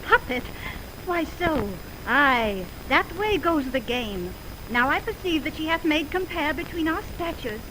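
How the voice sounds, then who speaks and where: A woman's voice: high-pitched, lively intonation, unhurried, bright, forceful tone, exaggerated voice. An actress playing a small, fiery, jealous young woman, declaiming indignantly in 1920s radio Shakespeare style. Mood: furious, indignant. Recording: noisy, crackly 1929 radio film recording.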